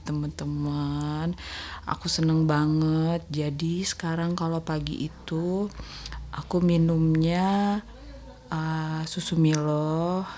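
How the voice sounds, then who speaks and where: A woman with a congested, nasal voice, gently narrating a casual voice-over vlog about her day to her viewers. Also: sits low. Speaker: homemaker vlogger narrating own daily routine. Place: voice-over recorded separately at home.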